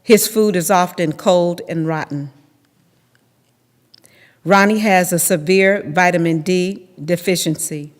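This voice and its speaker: A woman's voice: lowish voice, takes it slowly, long pauses. A middle-aged woman giving a dramatic reading of testimony at a lectern. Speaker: reader in a readers theater. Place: large temple sanctuary, at a lectern. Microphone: podium microphone on a boom stand. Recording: long hall reverb.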